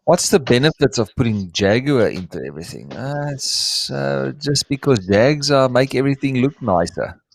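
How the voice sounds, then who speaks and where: A man's voice: medium pitch, lively intonation, normal speed, vocal fry, hardly any pauses, no room sound. A man with an Afrikaans accent, Afrikaans his first language, chatting casually as co-host and often restarting as he hunts for words. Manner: hesitant, hunting for words, frequent restarts. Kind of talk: casual chat.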